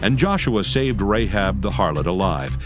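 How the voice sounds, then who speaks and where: A man narrating scripture in archaic English as a scripted, measured, solemn reading. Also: deep voice, lively intonation. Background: music.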